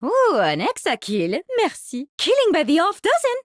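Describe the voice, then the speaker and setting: A woman's voice: very high-pitched, huge pitch swings, normal speed, no echo, clean studio sound, heavily acted. A woman playing an eccentric, haughty mycologist with a French accent, theatrical and gleefully morbid, in video game voice lines. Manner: theatrical, savoring, condescending.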